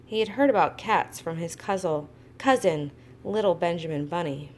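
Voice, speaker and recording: A woman's voice: on the low side, normal speed, slight background noise. A woman reading a storybook aloud to young children, expressively, with chatty little asides. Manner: expressive, acts out exclamations, adds chatty asides to young listeners. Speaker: kindergarten teacher reading to class.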